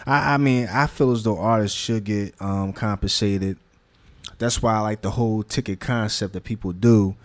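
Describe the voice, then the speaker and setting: Low-voiced man with a laid-back slangy drawl. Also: moderate pace. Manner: relaxed slangy talk with many restarts and fillers. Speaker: magazine founder. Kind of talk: casual interview conversation.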